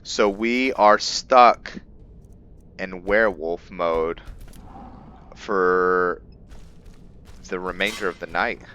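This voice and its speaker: A man giving casual game commentary with roleplay lore, thinking aloud in a halting way. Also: sits low, bright, forceful tone, lo-fi sound. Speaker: gamer hosting a roleplay let's play.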